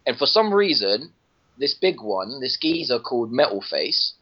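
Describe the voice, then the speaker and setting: A man's voice: medium pitch, very animated, normal speed, slightly rough. Rambling man on a lo-fi podcast. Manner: rambling, restarts often, laughs at own jokes. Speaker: podcast guest reviewing a game. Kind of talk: casual gaming podcast chat. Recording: lo-fi podcast audio.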